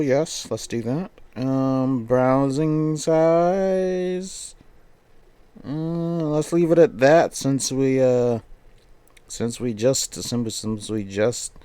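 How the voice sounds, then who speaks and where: A man's voice: mid-range voice, mellow, smooth, no echo. A man streaming games, chatting casually and thinking aloud in a rambling way that often repeats phrases. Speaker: game streamer. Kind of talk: casual livestream chat while setting up a game.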